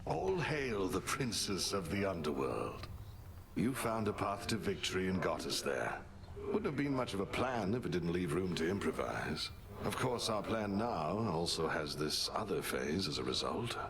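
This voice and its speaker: A man's voice: low voice, big pitch swings. A man playing a shrewd, loyal veteran strategist in a video game, courtly and wry. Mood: wry, respectful. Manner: courtly, easygoing, deliberate. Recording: noisy ambient background.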